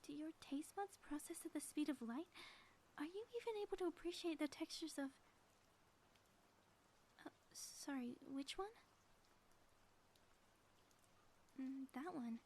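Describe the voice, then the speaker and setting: A woman's voice: high voice, normal speed, long pauses, darkish tone, a little hiss or hum. A woman playing a theatrical ex-villain spouse in an audio roleplay, delivering grandiose, mock-menacing taunts in florid language. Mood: flustered disbelief. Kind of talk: scripted audio roleplay monologue, ASMR.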